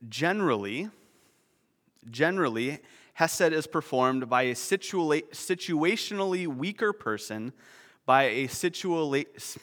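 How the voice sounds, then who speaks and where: A man's voice: fairly high pitch, a bit bright, in a large hall. A man preaching a sermon, explaining a word's meaning with nerdy, self-deprecating asides. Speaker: pastor.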